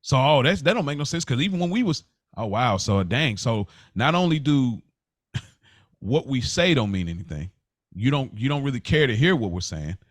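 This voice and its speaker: A man's voice: medium pitch, big pitch swings, normal speed, dry recording, clean studio sound. A man co-hosting a podcast, telling personal stories casually, often repeating words and restarting his phrases. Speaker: podcast co-host. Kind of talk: casual personal storytelling in conversation.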